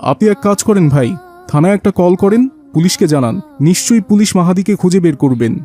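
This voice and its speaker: A talkative cartoon neighbour man, acted by a male performer, with a high-pitched voice. Faint music plays behind his dialogue. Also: expressive, fairly quick, warm tone. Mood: concerned, helpful.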